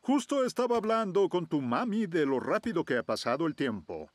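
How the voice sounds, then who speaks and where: A man playing a friendly, gentle older zebra neighbour in a children's cartoon, unhurried and chuckling. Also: on the high side, big pitch swings, no room sound. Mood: warm, wistful. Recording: music behind the voice.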